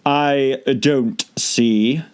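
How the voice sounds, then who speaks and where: A man voicing a haughty, languid caterpillar in an audiobook, drawling slowly and speaking with curt, dismissive contempt. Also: medium pitch, steady tone, clean tone, pitched above the performer's own voice. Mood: contemptuous, curt. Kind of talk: audiobook character voice.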